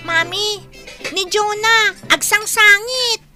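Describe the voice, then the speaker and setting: A woman playing a cheeky, excitable child in an Ilocano radio comedy drama. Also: very high-pitched, slow pace, over music. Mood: concerned.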